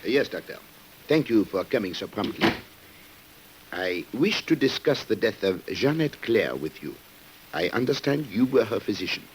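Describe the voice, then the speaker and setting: An actor playing a grave, determined police commissioner in a formal, dramatic radio play style. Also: male voice, lowish voice, big pitch swings, a little hiss or hum. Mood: grave, probing. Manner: formal, deliberate, dramatic delivery. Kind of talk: 1950s radio drama acting. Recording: muffled-edged vintage radio drama recording.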